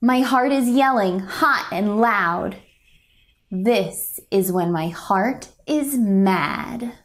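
A young woman reading a children's picture book aloud in a gentle, expressive, deliberate storytelling manner. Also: medium pitch. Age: young adult. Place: indoors, a home room.

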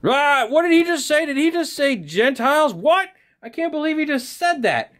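A man's voice: extremely high pitch, sing-song, moderate pace, a bit bright, pitched above the performer's own voice, full character voice. A man voicing an outraged, incredulous member of an angry crowd in a children's Bible story, sputtering with exaggerated indignation. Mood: shocked, indignant.

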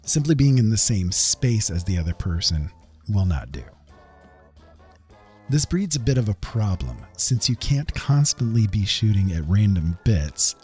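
A man's voice: on the low side, warm tone, husky. A man narrating a gameplay video in a semi-scripted, explanatory voice-over. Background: background music.

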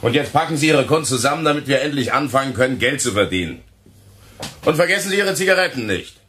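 An actor playing a brash, money-minded entertainment editor in a radio drama, loud and abrupt. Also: male voice, mid-range voice, expressive, fast, a little hiss or hum, natural voice. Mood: irritated, bossy.

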